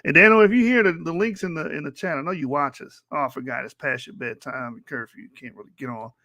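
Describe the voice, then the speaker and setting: A man's voice: fairly high pitch, fast talker, bright, forceful tone, no echo. A young man co-hosting a casual reaction show, laughing often and trash-talking in loose slang. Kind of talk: casual mocking commentary.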